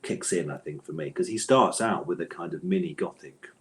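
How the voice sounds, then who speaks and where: A man's voice: low-pitched, fairly quick, a little hiss or hum. A man answering interview questions conversationally as a guest author, with a thoughtful, hesitant manner and bookish phrasing.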